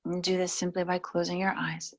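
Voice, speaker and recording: A woman leading guided relaxation and gentle yoga, speaking in short, soothing, unhurried phrases with long pauses between them. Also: on the low side, monotone. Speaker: yoga nidra and stretch instructor.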